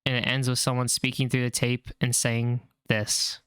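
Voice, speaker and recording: A man's voice: mid-range voice, completely flat delivery, normal speed, a bit bright, clear, resonant tone, dry recording. A man narrating explainer commentary on horror videos.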